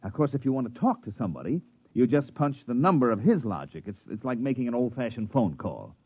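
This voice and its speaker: Wry repairman, animated, old radio sound. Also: mid-range voice, a little fast, slightly rough, no room sound. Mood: salesmanlike. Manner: colloquial, wry. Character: wisecracking, put-upon repairman of a futuristic gadget firm. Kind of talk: 1950s radio drama acting, first-person narration and dialogue. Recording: dull vintage radio recording.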